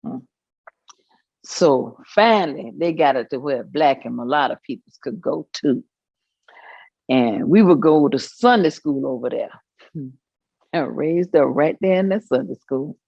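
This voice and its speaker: A woman's voice: sits low, lively intonation, measured pace, vocal fry, long pauses, dark tone, breathy edge, no echo, lo-fi sound, heavily acted. An actress performing an elderly church-going woman's recollections in a staged reading, folksy and full of small asides. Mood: fond. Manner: folksy storytelling with hummed asides and chuckles.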